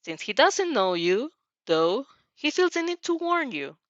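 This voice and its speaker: A woman's voice: high-pitched, sing-song, measured pace, no echo, lo-fi sound. A woman narrating a second-person story aloud in an emotive, theatrical way. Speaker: narrator reading fan fiction aloud. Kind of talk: dramatic second-person story reading with reactions.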